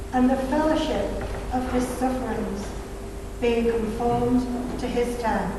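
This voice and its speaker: A woman reading a Bible passage aloud in a measured, careful way. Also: fairly high pitch. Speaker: scripture reader from the congregation. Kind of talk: scripture reading. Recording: distant, echoey hall with background noise.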